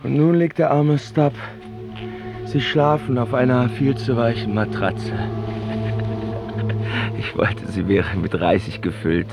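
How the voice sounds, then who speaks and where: A man's voice: medium pitch, gravelly. A male actor playing a sardonic, doomed sailor in a radio drama, musing wryly as he faces death. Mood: wry, resigned. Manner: wry, drawn-out musing. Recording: music underneath.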